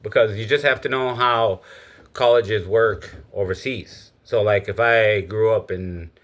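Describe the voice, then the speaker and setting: A man's voice: lowish voice, steady tone, unhurried, a bit bright. A man from Egypt giving a casual, opinionated spoken monologue. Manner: opinionated, lecturing. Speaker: speaker giving his views.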